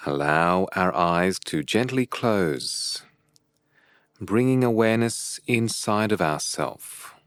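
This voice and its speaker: A man leading a guided meditation as a teacher, with calm, measured and deliberate phrasing broken by pauses. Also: deep voice, clean tone, dry recording.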